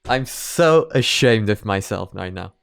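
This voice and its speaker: Male reaction host, casual and self-mocking, smooth clear voice, slow pace. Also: fairly low pitch, lively intonation. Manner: self-deprecating.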